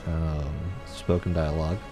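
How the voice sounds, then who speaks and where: A man's voice: extremely low pitch, flat delivery, a little slow, over music. A man giving casual, chatty co-commentary as he streams a video game.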